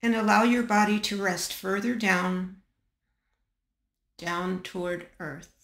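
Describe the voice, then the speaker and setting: Woman, meditation guide, calm and measured, slow delivery, long pauses, roomy echoing recording. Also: medium pitch, gravelly. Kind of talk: guided body scan meditation.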